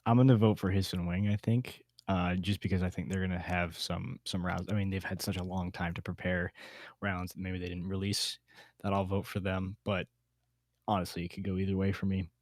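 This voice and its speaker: A man's voice: sits low, flat delivery, brisk, darkish tone, no room sound. A man hosting a casual panel discussion, often repeating words as he thinks aloud. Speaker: podcast host.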